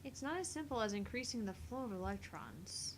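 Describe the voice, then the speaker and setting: Woman voicing visual novel lines, slightly high voice, bit of background hiss. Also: lively intonation, brisk. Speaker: voice reader in a visual novel playthrough. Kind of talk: acted reading of game dialogue.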